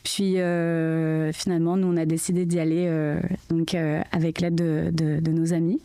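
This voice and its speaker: A woman's voice: deep voice, flat delivery, normal speed, mellow, clean tone. A woman with a French accent, a podcast guest telling her story in a chatty way, full of fillers. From France. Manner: chatty, filler-heavy, often says "tu sais". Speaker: podcast guest, mother of triplets. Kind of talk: casual interview storytelling.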